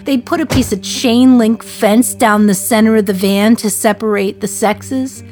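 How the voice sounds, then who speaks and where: A woman's voice: a little high, steady tone, measured pace, a little mellow, faint music behind, lightly acted. A woman playing a wry, defiant condemned prisoner. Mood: wistful. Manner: wry, sardonic, confiding to the listener. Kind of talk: radio play monologue.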